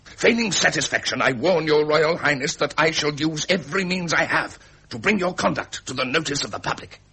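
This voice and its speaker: Outraged, sharp-voiced English aristocrat. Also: male voice, a little high, fairly quick, creaky, husky, full character voice, poor audio. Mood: threatening, formal. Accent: upper-class English. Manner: clipped, formal, forceful. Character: outraged, honour-bound aristocratic naval officer and husband. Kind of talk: period British broadcast drama acting.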